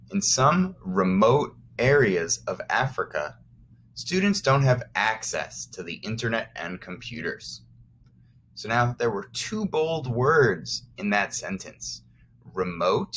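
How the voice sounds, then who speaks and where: Expressive low-voiced man reading to children. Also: a little hiss or hum. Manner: expressive, encouraging, explains things simply for children. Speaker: first grade teacher. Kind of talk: read-aloud lesson for young children with explanatory asides.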